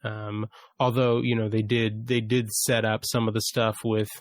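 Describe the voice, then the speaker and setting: A man co-hosting a podcast, explaining and qualifying his points in a relaxed, informal discussion. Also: on the low side, normal speed, dry recording. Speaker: podcast host. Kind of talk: casual discussion.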